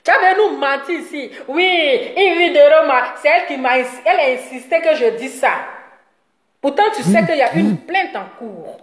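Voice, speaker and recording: A high-voiced woman delivers a rambling, scolding commentary. She mocks her target and laughs often between jabs. Her voice rings in a roomy, echoing space. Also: animated, normal speed. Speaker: online commentator. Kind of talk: ranting commentary video.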